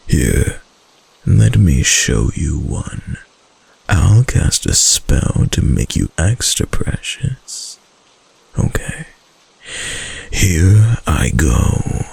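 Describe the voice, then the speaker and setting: A man playing a doting, possessive kitsune husband in an intimate roleplay ASMR, with a soft, husky, lingering murmur. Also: full character voice. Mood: sweet, playful. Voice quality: very deep, husky, gravelly.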